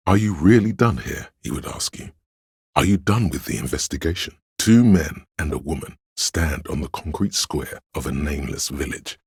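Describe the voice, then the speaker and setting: A man voicing a brooding inner voice that narrates a police drama in a game, with a very deep, gravelly, husky delivery, measured and solemn. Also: no room sound, studio quality, heavily acted. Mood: tense, urgent. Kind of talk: literary scripted narration.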